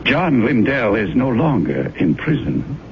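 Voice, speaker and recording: An actor playing a smooth, pious man giving measured, persuasive counsel in a 1970s radio drama. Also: mid-range voice, big pitch swings. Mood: dismissive. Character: smooth, pious, dismissive male advisor. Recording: dull, noisy old radio broadcast.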